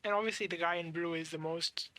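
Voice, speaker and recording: A game streamer giving casual, halting commentary, thinking aloud while playing. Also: mid-range voice, fairly even intonation, sharp tone. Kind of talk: casual let's play commentary.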